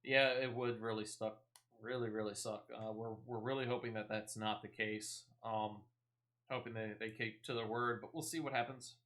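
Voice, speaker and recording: A man's voice: lowish voice, level tone, normal speed, fairly bright tone. A man giving casual, rambling, playful gameplay commentary as a video game streamer.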